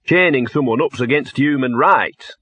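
A man voicing a cynical, maudlin little talking dog, hoarse and drunkenly slurred, in a comic fantasy audiobook. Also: mid-range voice, normal speed, pushed and bright, no echo, pitched above the performer's own voice. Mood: gruff defiance. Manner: slurred, rambling, grumbling.

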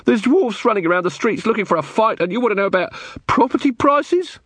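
A man as an exasperated sergeant, pitched high. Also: animated, brisk, fairly bright tone, husky, dry recording, full character voice. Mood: scornful, exasperated. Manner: blunt, exasperated. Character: irritable, scornful male palace guard sergeant.

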